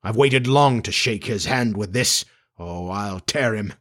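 Storybook villain: male reader as vengeful pirate captain, slow, brooding, theatrical, with a husky edge. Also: on the low side, a bit bright. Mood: bitter, vengeful. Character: menacing, brooding pirate captain with a haunted dread.

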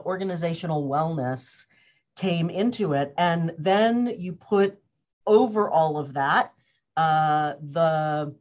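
A woman in her fifties from the United States speaks as an interview guest, earnest and thoughtful, often laughing and pausing on fillers. Her voice sits fairly low. The audio is dull and lo-fi. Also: a little mellow. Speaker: guest, organizational wellness consultant. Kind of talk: conversational interview answers. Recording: muffled lo-fi audio.

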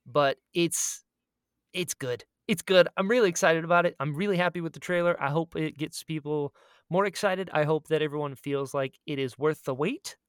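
A man's voice: on the high side, normal speed, smooth, no echo, clean studio sound. A man co-hosting a casual podcast chat, talking in a self-deprecating, rambling way. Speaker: podcast co-host and audio drama creator.

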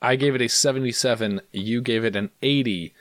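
A man's voice: mid-range voice, fairly quick, no echo. A man hosting a casual podcast, playful and self-mocking as he reads out scores. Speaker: podcast host.

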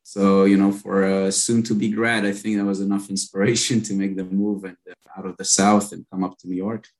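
Israeli-accented founder, late 30s, deep voice with gravel, easygoing storyteller who trails off. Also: male voice, a little fast. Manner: relaxed storytelling, frequent fillers and trailing off. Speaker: company founder and guest. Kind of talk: casual interview chat.

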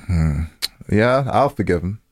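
A man with a low-pitched voice in a dry recording gives casual advice as a show co-host. He is blunt and slangy, and he often repeats a phrase to drive it home. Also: lively intonation, unhurried, no room sound. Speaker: podcast co-host giving advice.

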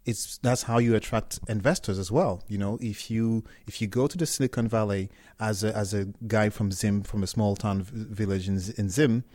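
Man from Cameroon, founder in a sit-down interview, low voice, dry close sound, frank and halting. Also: fairly even intonation, darkish tone, no echo. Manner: candid, hesitant restarts, reflective. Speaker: startup founder. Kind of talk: interview answers.

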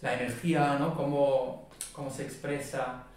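Therapist guy explaining stuff, calm and measured, lots of room echo, sounds far from the mic. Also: mid-range voice. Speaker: body psychotherapist guest. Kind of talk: explanatory interview talk. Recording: very echoey room, distant mic.

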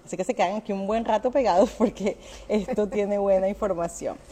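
A woman's voice: medium pitch, fairly quick, warm tone. A woman hosting a casual live interview, chatty and informal, repeating words for emphasis. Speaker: live show host. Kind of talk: casual livestream interview chat. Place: restaurant. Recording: faint background noise of a restaurant.

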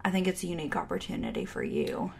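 A woman's voice: low voice, huge pitch swings, fast, vocal fry, husky. A young woman co-hosting a casual chat podcast, chatty and self-deprecating, with lots of "like" in her speech. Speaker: podcast co-host.

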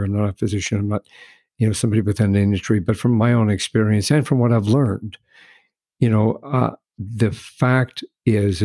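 A male interviewer with a low, mellow voice. He picks his words carefully and often stops to restart a sentence. The recording is dry and close. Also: no echo. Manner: halting, restarts, careful phrasing. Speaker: interview host. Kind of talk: conversational interview.

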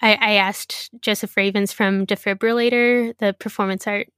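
Halting young woman, dry close sound. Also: mid-range voice, fairly even intonation, a bit bright, smooth, no echo. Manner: hesitant, many fillers and restarts. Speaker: interviewee. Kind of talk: casual personal interview.

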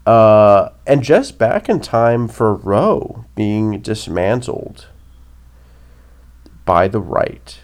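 A man's voice: lowish voice, animated, extremely slow. A man hosting his own political podcast, sounding nasal from a cold, blunt and opinionated, with many ums and rhetorical "right?"s. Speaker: podcast host. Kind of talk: casual political commentary podcast.

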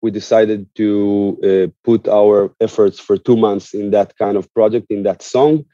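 A man speaking as an interview guest, explaining in an earnest, conversational way with halting, repeated words. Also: on the low side, steady tone, warm tone, no room sound, dull audio. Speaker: interview guest, musician and activist.

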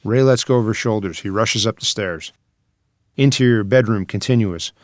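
A man's voice: on the low side, moderate pace, no room sound, studio quality. A man reading out screenplay scene headings and stage directions as a scripted narrator.

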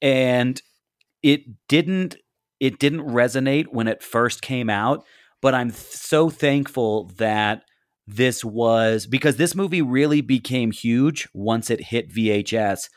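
A man's voice: medium pitch, no room sound, studio quality. Reflective man in his thirties. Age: 30s. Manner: reflective storyteller, measured, repeats words. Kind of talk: casual chat.

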